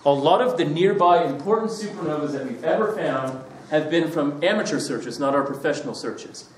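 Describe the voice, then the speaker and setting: A man's voice: a little high, expressive, moderate pace, a little hiss or hum. A man with an Australian accent giving a joking, chatty public lecture, with many fillers. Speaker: guest lecturer. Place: lecture hall. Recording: echoey hall, mic from far off.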